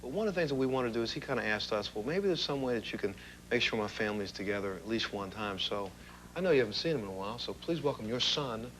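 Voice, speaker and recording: Fast-talking male talk show host. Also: mid-range voice, lively intonation, a bit bright, a little hiss or hum, low-quality recording.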